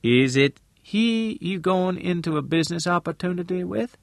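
A man voicing an earnest, shrewd woman who works in real estate, speaking in a halting, deliberate way in an audiobook. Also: sing-song, no echo, studio quality, exaggerated voice. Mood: probing, curious. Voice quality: low, mellow.

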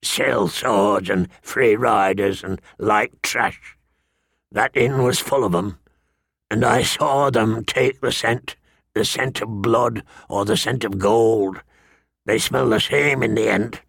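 A man voicing a gruff old watchman in an audiobook, gravelly and husky, rough-spoken yet respectful. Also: fairly low pitch, fairly bright tone, no echo, clean studio sound, heavily acted. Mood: grim. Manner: slow, rustic, blunt deference. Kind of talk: audiobook character dialogue.

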